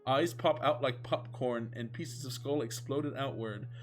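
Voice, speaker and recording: A man's voice: mid-range voice, a little slow. A man reading fan fiction aloud as a comedic, mock-epic narration, sounding amused. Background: music.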